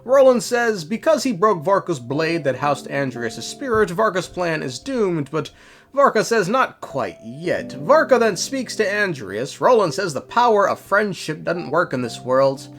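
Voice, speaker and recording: A man's voice: fairly high pitch, big pitch swings, a little slow, a bit bright, faint music behind. A man narrating a scripted story recap in an irreverent, slangy, tongue-in-cheek way. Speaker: video creator recapping game lore.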